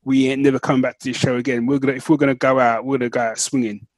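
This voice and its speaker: A man's voice: mid-range voice, level tone, very fast, dry recording. Man chatting casually on a podcast. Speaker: podcast co-host. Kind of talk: casual chat, reviewing TV shows.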